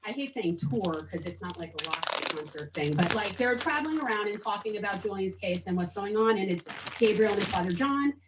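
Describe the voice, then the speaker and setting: A woman hosts a panel and introduces the speakers in a relaxed, chatty way. Her voice sits at a middle pitch and sounds roomy, picked up from some distance away from the mic, with faint ambient noise behind her. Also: fairly quick. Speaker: event host and moderator. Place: covered outdoor patio with plants.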